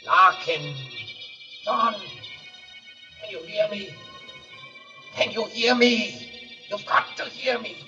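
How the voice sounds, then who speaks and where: A woman playing a desperate disembodied voice, pleading slowly and urgently in 1940s radio drama style. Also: medium pitch, animated, music in the background. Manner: slow, urgent, eerie pleading. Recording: distant echoing voice on dull old radio recording.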